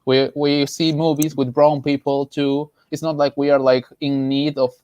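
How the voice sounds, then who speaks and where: A man from Peru with a Peruvian Spanish accent, giving casual opinions as a panelist in an emphatic, halting way, often repeating phrases. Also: fairly high pitch, level tone, darkish tone, dry recording. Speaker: panelist, artist.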